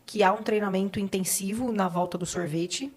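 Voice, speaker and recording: A woman's voice: mid-range voice, normal speed, crowd noise. A woman with a Brazilian Portuguese accent hosting a casual interview, talking conversationally and posing questions as she reasons. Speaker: podcast host.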